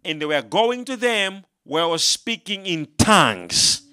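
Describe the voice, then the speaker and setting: Male preacher, very high and bright voice, calls out to the congregation and builds to shouts. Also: big pitch swings, measured pace, clear, resonant tone. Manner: call-and-response, rising to shouts. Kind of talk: sermon.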